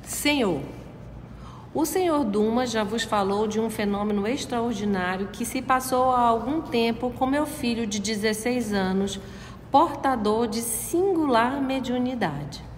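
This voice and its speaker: A woman's voice: on the high side, measured pace, slight background noise. Woman reading aloud in an echoey room. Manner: reads a text aloud, stops to explain. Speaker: study group reader. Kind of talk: reading aloud and commenting in a study group. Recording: echoey room with long reverb.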